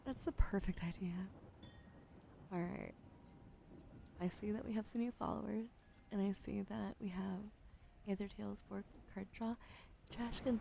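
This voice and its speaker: A woman streamer chatting with viewers during an ASMR livestream, often whispering softly, close to a sensitive studio mic. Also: mid-range voice, vocal fry, a little hiss or hum. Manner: often whispering, soft and unhurried. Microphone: sensitive studio mic on a boom arm.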